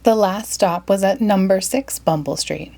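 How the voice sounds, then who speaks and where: A woman reading a children's picture book aloud in an expressive, dramatic storytelling style. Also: mid-range voice, measured pace, vocal fry. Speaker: storybook reader.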